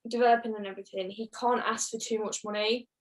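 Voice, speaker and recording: A woman's voice: a little high, fairly even intonation, fast. A woman chatting casually as a panellist, with loose, informal phrasing full of "like". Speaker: podcast panellist. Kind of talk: casual motorsport panel chat.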